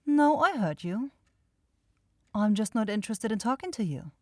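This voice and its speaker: A woman's voice: fairly high pitch, big pitch swings, moderate pace, no echo, studio quality. A woman voicing an aloof, teasing young vampire girl in an ASMR roleplay, with a cool, sardonic, dismissive manner.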